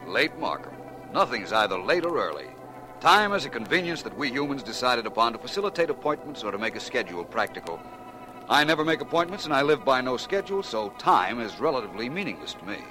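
An actor playing a suave, self-assured private detective in 1940s radio drama style, speaking with precise, urbane wording. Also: male voice, medium pitch, sing-song, fast, over music, natural voice. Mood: urbane, amused. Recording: noisy vintage 1940s radio recording.

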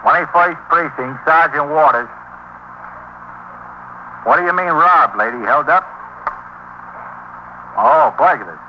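A no-nonsense cop, deepish guy, terse, dull 50s radio recording with a bit of hiss. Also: animated, slow pace, pauses often. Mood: brisk, questioning. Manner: businesslike, terse. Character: matter-of-fact, unruffled male New York police officer. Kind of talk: 1950s radio drama acting. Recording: muffled 1950s radio recording, faint noise.

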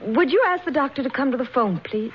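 A woman's voice: high voice, expressive, fast talker. A young woman playing an anxious, insistent grown daughter in a 1940s radio melodrama, urgent and questioning. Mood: anxious, polite. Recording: dull, noisy old 1940s radio recording.

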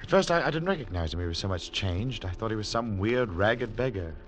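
Guy acting as troubled engineer telling a radio drama tale, lowish voice, very animated, faint music. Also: a little fast, close to an everyday voice. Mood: haunted, intense. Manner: dramatic, intense, articulate storytelling. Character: troubled male mining engineer and ex-climber, haunted by memory. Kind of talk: 1940s radio drama acting, first-person narration.

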